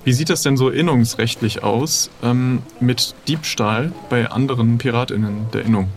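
A man's voice: medium pitch, moderate pace, soft background music. A man improvising casual in-character talk as a player in a role-playing game, hesitant and thinking aloud.

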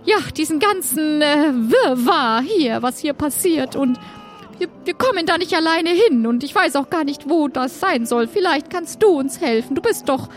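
A woman playing a desperate, coaxing young heroine who pleads haltingly in an improvised audio adventure. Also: high voice, lively intonation, soft background music. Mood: desperate, pleading. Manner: halting, stammering.

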